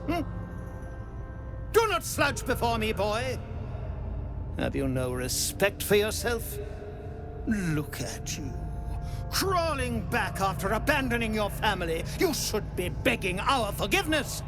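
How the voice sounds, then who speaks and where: An imperious patriarch in a video game, full of haughty scorn. His voice is high and swings dramatically, with a pompous, cutting delivery. Music plays clearly behind him. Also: very animated, takes it slowly, exaggerated voice. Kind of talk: theatrical video game villain acting. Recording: prominent music under the voice.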